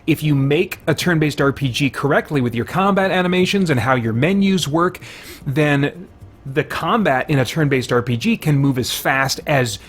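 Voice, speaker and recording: A man's voice: fairly high pitch, expressive, moderate pace, a bit bright. A man giving casual first-impressions commentary on a video game, conversational and thinking aloud as he goes.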